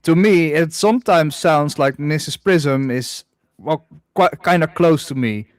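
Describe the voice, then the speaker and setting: A man from the Netherlands, hosting a casual livestream chat in a droning, halting way. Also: a little high, no echo. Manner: hesitant, many restarts, self-described droning monotone. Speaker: livestream host.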